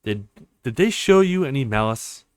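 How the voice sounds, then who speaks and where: A man's voice: mid-range voice, lively intonation, moderate pace, clean tone, no echo, clean studio sound, natural voice. Man reading as a bitter, blunt young woman fighter. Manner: reproachful, blunt.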